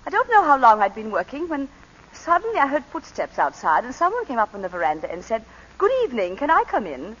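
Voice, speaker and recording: An actress playing a frightened, tense planter's wife in a 1940s radio drama, breathy and halting at times. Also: female voice, a little high, huge pitch swings, a bit bright, heavily acted. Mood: composed. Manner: nervous, halting, then composed storytelling. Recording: dull old radio broadcast.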